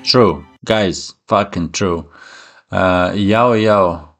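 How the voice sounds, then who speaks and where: A man's voice: low voice, very slow, music in the background. A man hosting a reaction video, commenting casually in a rambling way and often checking in with the listener. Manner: rambling, repeats "you know" tags.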